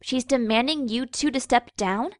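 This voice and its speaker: A woman's voice: fairly high pitch, normal speed, no room sound, studio quality, lightly acted. A woman voicing an earnest, puzzled young female pony princess in a cartoon fan fiction reading. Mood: concerned.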